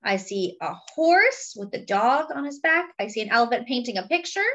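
A woman reading a picture book aloud to young children and commenting on the pictures, expressively and with pauses for emphasis. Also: fairly high pitch, very animated, a bit bright. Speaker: children's storybook reader.

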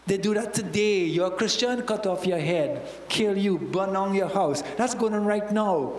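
A man's voice: high-pitched, moderate pace. A man in his fifties with a Trinidadian accent, preaching a sermon into a handheld mic and acting out Bible characters with local slang. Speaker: church elder preaching. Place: church hall with stage. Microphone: handheld microphone. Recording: roomy church hall reverb.

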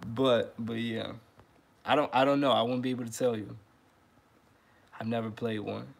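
A man in his thirties hosting a casual trumpet livestream from his living room, relaxed and friendly, often repeating words as he talks. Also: mid-range voice, normal speed, vocal fry. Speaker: trumpet teacher and livestream host.

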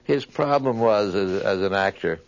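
A man reflects thoughtfully in an interview, circling back over his points. His voice sits fairly low, and the recording is a little dull. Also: moderate pace. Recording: dull, muffled audio.

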